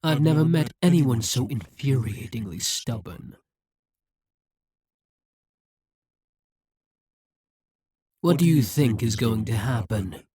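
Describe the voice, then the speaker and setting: An actor voicing a scheming, domineering demon monarch in a dramatic ASMR roleplay, speaking in a menacing, deliberate, taunting way. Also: medium pitch, expressive, dark tone, slightly rough, no room sound, studio quality. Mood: exasperated, taunting. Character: domineering demon monarch, scheming, smug, coldly seductive captor.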